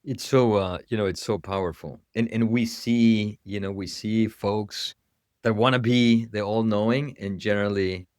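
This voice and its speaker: A man from Ecuador hosts a conversational podcast in a fairly low voice. He tends to repeat the starts of his phrases. The recording is dry and close. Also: fairly even intonation, moderate pace, darkish tone, no echo. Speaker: podcast host. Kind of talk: casual interview hosting.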